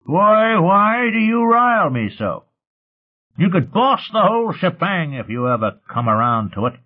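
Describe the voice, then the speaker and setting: Bellowing old bully patriarch, pitched up, swoopy drawn-out delivery, tinny narrow audio. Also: male voice, big pitch swings. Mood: domineering. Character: angry bellowing old tyrant patriarch on canes. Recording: muffled phone-like narrow sound.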